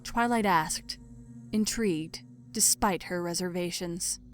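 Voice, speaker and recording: A woman's voice: on the low side, takes it slowly. A woman narrating a dramatic story from a script. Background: music.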